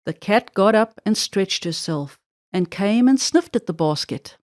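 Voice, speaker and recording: A woman's voice: medium pitch, lively intonation, a little slow, a little mellow, dry recording, studio quality. A woman narrating a children's storybook expressively, drawing out words for emphasis.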